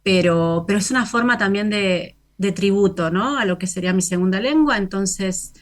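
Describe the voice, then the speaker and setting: Argentine woman guest, thoughtful and halting with soft restarts; plain mid pitch. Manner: reflective, halting, with frequent restarts and soft laughs. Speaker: guest poet and novelist. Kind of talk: radio interview. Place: remote, from Italy.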